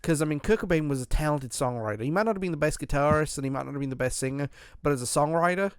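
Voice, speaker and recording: A man's voice: on the high side, rapid-fire, clean tone, no echo, studio quality. A man hosting a podcast, chatting casually about music in a rambling way with lots of restarts. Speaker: podcast host.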